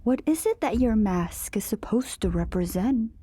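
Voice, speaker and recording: A woman playing a seductive, menacing vampire queen in an audio drama, slow and taunting. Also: a little high, expressive, dark tone, breathy edge, no room sound. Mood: sly, taunting.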